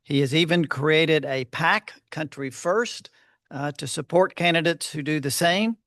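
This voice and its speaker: A soft-spoken man giving a measured, formal introduction at a luncheon podium, with frequent fillers. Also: a little high, no echo, clean studio sound. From Fort Worth, Texas. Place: luncheon hall.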